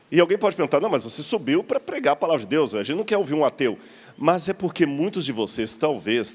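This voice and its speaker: A man with a Brazilian accent preaching in a conversational, explanatory way, posing rhetorical questions with a slight stammer. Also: mid-range voice. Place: large church hall. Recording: long hall reverb.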